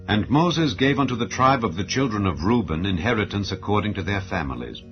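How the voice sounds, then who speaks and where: Deep-voiced man solemnly reading scripture over music. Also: lively intonation, brisk. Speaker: Bible narrator. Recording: dull lo-fi audio under music. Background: background music.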